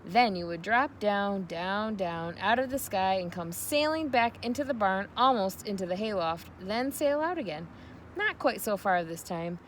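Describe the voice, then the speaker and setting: A woman giving an expressive read-aloud narration of a children's novel. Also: mid-range voice, normal speed, slight background noise. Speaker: storybook reader.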